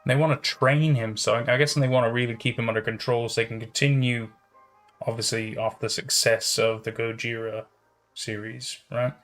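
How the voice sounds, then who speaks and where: A man's voice: mid-range voice, fairly even intonation, normal speed, fairly bright tone, soft background music. A man casually chatting and reacting as a livestreamer, musing aloud and often repeating his phrases. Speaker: streamer reacting to horror videos.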